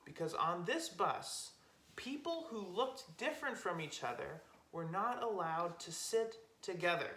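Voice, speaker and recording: A woman's voice: lowish voice, a bit bright. A woman hosting storytime for young children, explaining things simply and directly as she talks and tells stories. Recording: roomy echo, music behind.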